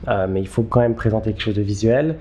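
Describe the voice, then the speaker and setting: A man, giving halting, conversational interview answers and repeating words as he thinks. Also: lowish voice, mellow. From Geneva, Switzerland. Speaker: interview guest, filmmaker.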